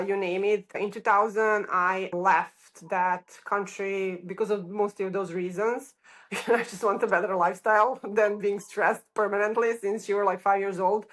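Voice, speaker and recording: Chatty Serbian-accented woman in casual interview. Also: mid-range voice. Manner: informal, chatty, peppers talk with "like". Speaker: architect, podcast guest.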